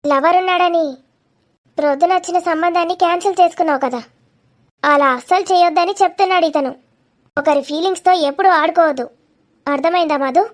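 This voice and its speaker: A woman's voice: very high-pitched, quick pace, long pauses. A woman voicing a feisty, sarcastic young woman in a confrontational cartoon comedy. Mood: mocking, scolding. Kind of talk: dramatic cartoon comedy dialogue.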